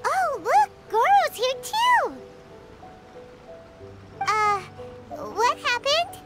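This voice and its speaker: A woman voicing a tiny, chirpy, curious fairy companion with a squeaky childlike voice in a video game. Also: big pitch swings, extremely slow, over music, heavily acted. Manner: speaks of self in third person, exclaiming. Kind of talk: cartoonish video game voice acting.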